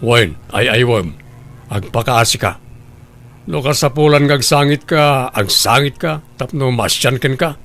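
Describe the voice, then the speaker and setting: A man's voice: medium pitch, a little slow, a bit bright, soft background music. A man voicing a scheming husband who coaxes his wife in an Ilocano audio drama. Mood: coaxing, scheming. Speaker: voice actor.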